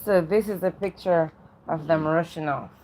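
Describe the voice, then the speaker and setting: A woman's voice: low voice, moderate pace, a little mellow, slight background noise, low-quality recording. A woman co-hosting a read-aloud show, welcoming and chatting to viewers in a repetitive way. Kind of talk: welcoming live-stream presenting.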